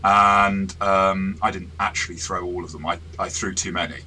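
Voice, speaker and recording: Deep-voiced man reviewing a game module. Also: fairly even intonation, normal speed, pushed and bright, slight background noise, low-quality recording. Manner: chatty, self-deprecating, restarts often. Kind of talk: casual review chat.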